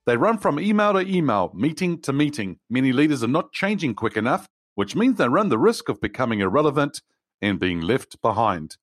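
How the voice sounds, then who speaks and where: A man's voice: mid-range voice, very animated, fairly quick, no room sound. Upbeat podcast host man, dry sound. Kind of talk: scripted podcast intro then interview. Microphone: video call.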